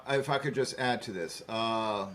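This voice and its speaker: A man's voice: on the low side, moderate pace, a bit bright, slight background noise. A man co-hosting a podcast who chats casually and keeps checking in with "right?". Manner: chatty, keeps asking "right?". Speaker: co-host.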